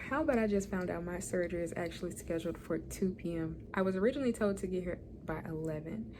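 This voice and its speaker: A woman's voice: fairly low pitch, background noise. Chatty young woman. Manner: chatty, candid, laughs often. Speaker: vlogger. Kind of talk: casual hospital vlog. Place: hospital room. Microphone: phone camera.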